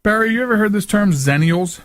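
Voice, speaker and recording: A middle-aged man with a slightly high voice hosts a casual talk show. He rambles informally, with loose asides, close on the mic in a very dry studio sound. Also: lively intonation, a little fast, no echo. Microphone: close studio microphone.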